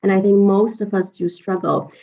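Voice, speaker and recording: A woman's voice: medium pitch, moderate pace. Chatty Urdu-speaking woman, lo-fi audio. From Pakistan. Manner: lively storyteller, frequent laughs and fillers. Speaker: interview guest. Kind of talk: conversational interview. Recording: slightly dull lo-fi audio.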